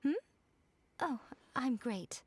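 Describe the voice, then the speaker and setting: A woman voicing an elegant, playful fox-spirit shrine maiden in an anime game, composed and measured. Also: high-pitched, lively intonation, husky, no room sound, exaggerated voice.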